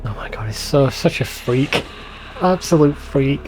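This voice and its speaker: A man's voice: mid-range voice, animated, unhurried, a little hiss or hum, rough recording. A man giving informal, sweary gaming commentary as a let's play host. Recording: faint game music behind voice. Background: game soundtrack.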